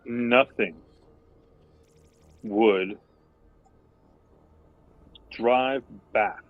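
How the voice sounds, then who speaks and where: A man playing a proud noble envoy in a tabletop game, declaiming grandly and deliberately in short phrases. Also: lowish voice, phone-quality sound.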